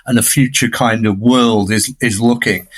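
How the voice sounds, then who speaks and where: A man's voice: mid-range voice, steady tone, normal speed. A man speaking as an expert podcast guest, giving conversational analysis in a discursive way with emphatic repetition.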